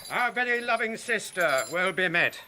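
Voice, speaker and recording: An actor playing a formal, principled noble commander in a classical audio drama, measured and declamatory. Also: male voice, sits high, expressive, bright, forceful tone, slight background noise, heavily acted. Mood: stern, formal.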